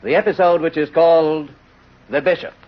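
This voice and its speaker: Male 1930s radio announcer, formal, stately, fairly high voice, dull lo-fi recording. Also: steady tone, heavy background noise. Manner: solemn, stately, deliberate diction.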